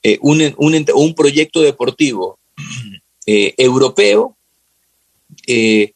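A man's voice: mid-range voice, expressive, slow pace, slightly rough, no room sound. A man with an Ecuadorian accent talking casually and reflectively as an interview guest, searching for words and often repeating phrases. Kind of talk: casual, reflective football discussion.

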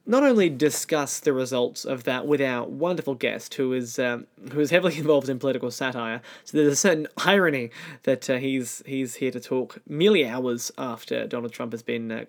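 A man's voice: mid-range voice, brisk. A man with an Australian accent, hosting a podcast and interviewing a guest in a chatty way. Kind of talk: podcast introduction and interview chat.

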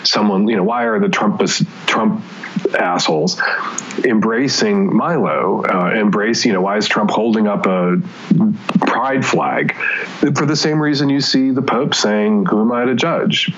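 A man's voice: on the low side, animated, a little slow, fairly bright tone, a little hiss or hum. Blunt, emphatic man on a muffled line. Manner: emphatic, blunt, swears freely, trails into asides. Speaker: guest, newspaper columnist. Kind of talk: opinionated conversation. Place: Seattle area.

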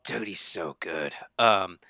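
A man's voice: low-pitched, animated, takes it slowly, creaky, pushed and bright, dry recording, studio quality. A man speaking as a guest in a casual, bantering conversation, slangy and effusive about his project. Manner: slangy, effusive, says "man" and "dude" often. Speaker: guest, comics creator.

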